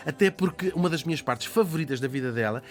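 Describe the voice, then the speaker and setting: A man with a Portuguese accent telling a lively radio biography, animated, laughing often and adding quick asides. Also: fairly high pitch. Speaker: radio columnist. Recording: music bed under radio studio talk.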